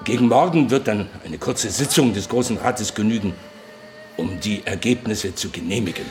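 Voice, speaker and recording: An actor playing a methodical man at a war council in a radio play, deliberate and pausing weightily. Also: fairly low pitch, a little mellow, soft background music, close to an everyday voice. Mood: deliberate, businesslike. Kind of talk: German radio play acting.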